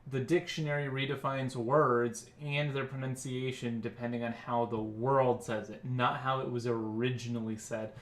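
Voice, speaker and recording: Male stream host, mid-pitched, animated and quick to argue in casual chat. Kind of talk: casual banter.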